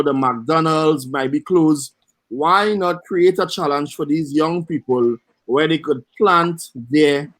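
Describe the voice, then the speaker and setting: A man's voice: on the high side, dry recording. A man with a Trinidadian accent, speaking enthusiastically as a panelist in a webinar discussion over a video call.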